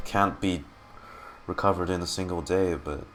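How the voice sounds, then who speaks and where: A man streaming casual game reaction commentary, excitable and often repeating his words. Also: low voice, unhurried, over music.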